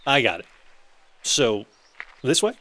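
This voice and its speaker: A man's voice: medium pitch, big pitch swings, slow pace, pushed and bright, no room sound, exaggerated voice. An actor playing a casual, uneasy male travel companion in an audio drama, informal and questioning.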